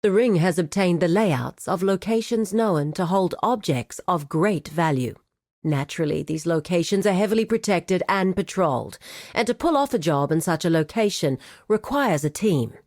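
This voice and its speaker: A woman's voice: lowish voice, expressive, moderate pace, a little mellow, no room sound, clean studio sound. A woman voicing a composed, formal administrator of a criminal guild in a video game, speaking precisely and with cool courtesy. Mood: formal, businesslike. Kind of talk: scripted video game character dialogue.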